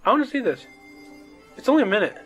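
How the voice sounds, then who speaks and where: A man's voice: very high-pitched, very animated, fast talker, highs cut off, loud music behind the voice. A man co-hosting a podcast, chatting casually and informally about movies. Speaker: podcast co-host.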